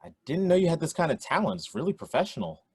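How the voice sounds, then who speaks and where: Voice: medium pitch, sing-song, quick pace, husky, no room sound. An actor playing a friendly, eager and slightly awkward teenage boy in a radio play, hesitant and earnest. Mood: admiring.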